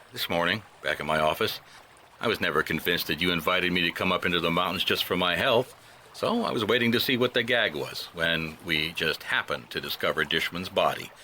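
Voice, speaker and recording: An actor playing a shrewd, dogged private detective in a radio drama, wry, deliberate and accusatory. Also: male voice, deep voice, sharp tone, slight background noise, poor audio. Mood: coolly probing.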